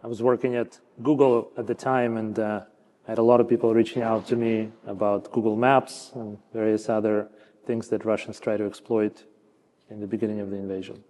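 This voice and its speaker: A man born in Ukraine and raised in Canada, moderating a panel on a conference stage with smooth, prepared introductions. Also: lowish voice, little pitch movement, brisk, warm tone. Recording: long hall reverb.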